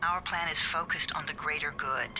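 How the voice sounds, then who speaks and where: A man's voice: fairly high pitch, steady tone, fairly quick, over loud music, close to an everyday voice. A man playing a cold, commanding agency handler in a video game, speaking in a clipped, formal, controlled way. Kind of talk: dramatic game cutscene acting.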